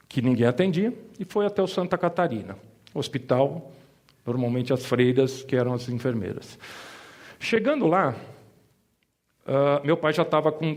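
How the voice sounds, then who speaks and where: A man's voice: a little high, a little mellow. A middle-aged man with a Mooca accent from São Paulo tells a personal family story to an audience, with joking asides. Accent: São Paulo Italian-influenced (Mooca). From Brazil. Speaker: insurance advisor giving a talk.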